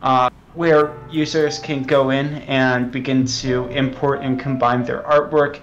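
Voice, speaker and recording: Earnest, halting man with slightly dull sound. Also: medium pitch, little pitch movement, faint music behind. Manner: earnest, self-serious, halting. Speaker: game developer being interviewed. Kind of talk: mock interview.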